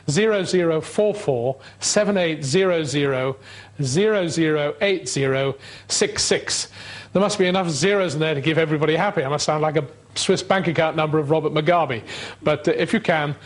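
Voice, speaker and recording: Man, call-in radio host, somewhat high voice, relaxed, hesitant fillers. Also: normal speed. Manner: relaxed, self-deprecating, fillers. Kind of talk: live call-in talk show.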